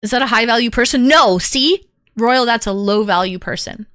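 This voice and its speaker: Woman streaming, casual rambly storytelling, highish bright voice, dry sound. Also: normal speed, no room sound. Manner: candid, rambling storytelling with many fillers. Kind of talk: casual chat to stream viewers.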